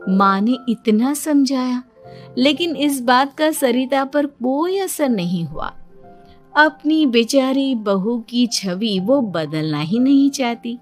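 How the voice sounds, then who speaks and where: A woman's voice: high-pitched, animated, slow pace, soft background music. A woman reading scripted narration for a story in a storytelling style.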